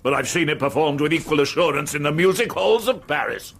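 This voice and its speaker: An actor playing a gruff, scornful older uncle in a period radio drama, blustering and dismissive. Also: male voice, fairly high pitch, expressive, fairly quick, a bit bright, full character voice. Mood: blustering, grudging. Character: gruff, scornful older uncle, short burly male entomologist.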